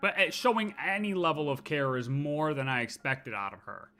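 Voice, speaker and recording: A man's voice: on the high side, moderate pace, pushed and bright. A man giving casual live commentary on a game playthrough, musing and thinking aloud. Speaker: game streamer. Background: faint game music.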